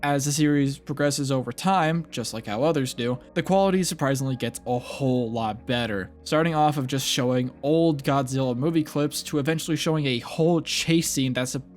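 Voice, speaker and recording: A man's voice: mid-range voice, fairly quick, few pauses, faint music behind. A young man giving a casual, loosely scripted commentary that reviews horror series, with occasional stumbles. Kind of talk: casual video essay commentary.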